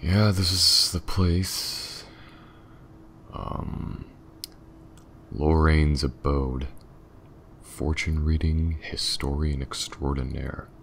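A man playing a gruff, wary, sardonic detective in an audio roleplay, gravelly and halting in his delivery. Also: extremely low pitch, steady tone, a bit bright, slight background noise, full character voice. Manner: halting, muttering.

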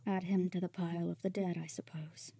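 A woman as a stern, mellow-voiced robot warrior. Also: mid-range voice, fairly even intonation, measured pace, no echo, clean studio sound, natural voice. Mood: resigned, cold. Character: battle-hardened, bitter female robot warrior. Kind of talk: dramatic comic dub acting.

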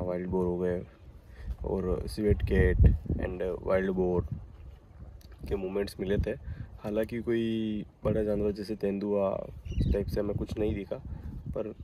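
A young man vlogging to a handheld phone from an open safari jeep in a dry forest, talking in a relaxed, chatty way. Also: deep voice. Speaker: travel vlogger. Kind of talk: casual vlog narration. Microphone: phone held at arm's length. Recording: outdoor recording with clear background noise.

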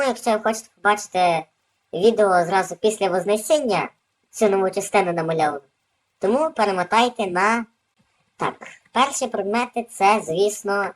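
A woman's voice: mid-range voice, rough recording. Casting: female gaming host, mid-range voice, informal running commentary over gameplay.

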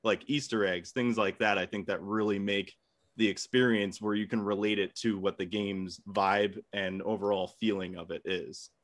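A man's voice: on the low side, fairly even intonation, normal speed. A man in an informal comedic debate, arguing bluntly with casual swearing. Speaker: debate contestant. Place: home room, indoors.

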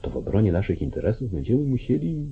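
An actor playing a calculating, commanding judge and politician in an old radio play, speaking deliberately. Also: male voice, fairly low pitch, very animated, vocal fry. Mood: scheming, commanding. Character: calculating, domineering small-town judge, older male politician. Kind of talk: mid-century Polish radio play acting. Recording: muffled, narrow-band 1940s radio recording.

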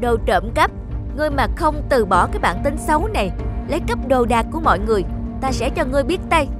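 A woman voicing a big, polite talking bear, an enchanted prince, in an animated fairy tale, earnest and able to turn stern. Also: high voice, fast talker, voice pushed up. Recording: music under the voice.

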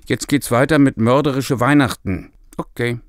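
A man's voice: mid-range voice, normal speed, no echo, studio quality. A male voice actor in outtakes, reading scripted lines and adding casual asides. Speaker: voice actor in an audio drama.

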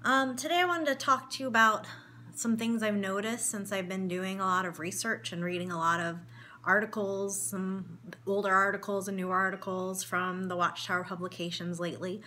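A woman's voice: mid-range voice, steady tone, normal speed, bright, forceful tone, slight background noise. A woman in her forties with a cold, speaking earnestly to her daughter in a personal video and reading an article aloud at home. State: has a cold. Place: home room in front of window blinds.

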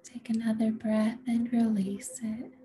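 A woman leading a guided meditation in a gentle, soothing, unhurried way, with a soft, breathy voice that often nears a whisper. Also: on the high side, level tone, a little hiss or hum. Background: music.

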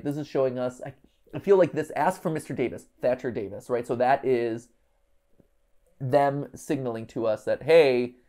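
A man in his thirties talks through a puzzle live in a casual way. His mid-pitched voice has plenty of creak. He mutters unfinished thoughts to himself and leans into the bits he reads out. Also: mellow. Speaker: livestream host. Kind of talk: casual live puzzle-solving commentary, thinking aloud. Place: indoor room, livestream set.

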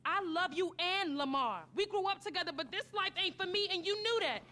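Fed-up, high-voiced young American woman. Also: lively intonation, brisk, bright, forceful tone, heavily acted. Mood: resigned, reproachful. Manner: reproachful, blunt, emphatic. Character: fed-up, firm young woman scolding her ex. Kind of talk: video game cutscene acting.

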